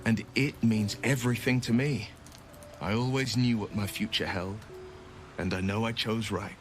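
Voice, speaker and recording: A man's voice: on the low side, fairly even intonation, normal speed, music in the background, close to an everyday voice. A man playing an earnest, noble young monster hunter in a video game, with a dramatic delivery. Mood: resolute.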